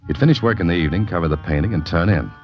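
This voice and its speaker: A very deep-voiced American man , an actor, plays a wry, laconic adventurer in a 1940s radio mystery. He narrates in hard-boiled, wisecracking fashion. The old recording is dull, with some music behind. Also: very fast, lightly acted. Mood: wry narrating. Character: dry, wry writer and amateur adventurer, male narrator.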